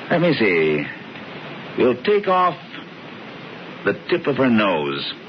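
Slick scheming big brother, coaxing, voice bouncing up and down, muffled old radio. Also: male voice, mid-range voice, huge pitch swings, slow, slight background noise. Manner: coaxing, assured. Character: scheming confident older brother, smooth talker. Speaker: brother George. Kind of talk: 1970s radio drama acting.

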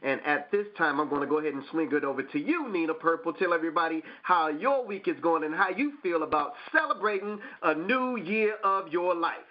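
A man's voice: on the high side, lively intonation, a little fast, hardly any pauses. A man hosting an open mic radio show, laid-back and affirming, stumbling over his words at times. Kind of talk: casual hosting chat. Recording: dull lo-fi online radio audio.

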